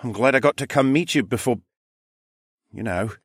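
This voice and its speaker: A man's voice: high-pitched, huge pitch swings, measured pace, no echo, clean studio sound, pitched above the performer's own voice, heavily acted. A man voicing a nervous, self-doubting young male green dragon in a hesitant, emotional way for a fantasy audiobook. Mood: tearful.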